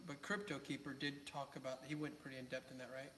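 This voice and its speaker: A man joining as a guest on a casual crypto livestream, soft-spoken and hesitant, sitting a little away from the laptop mic. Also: mid-range voice, flat delivery, quick pace, reverberant, slight background noise. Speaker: guest co-host. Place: indoor room, away from the mic.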